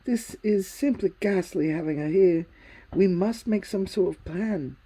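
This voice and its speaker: A woman's voice: fairly low pitch, measured pace, mellow, breathy edge, voice pushed up. A woman voicing an anxious, flustered young English boy in a storybook reading, pleading and exasperated.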